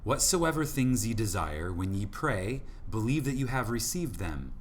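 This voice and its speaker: A man reading an audiobook from a script in an emphatic, deliberate manner, with dramatic pauses for effect. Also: on the low side, very animated, a little hiss or hum. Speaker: audiobook narrator.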